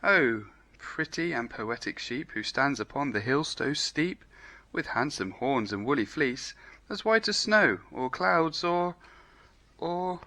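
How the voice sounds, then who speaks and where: Storybook rabbit, male, played by a man: dreamy, earnest poet, bright tone, lilting measured verse. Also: medium pitch, lively intonation, heavily acted. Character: earnest, dreamy male rabbit who recites rhyming poems.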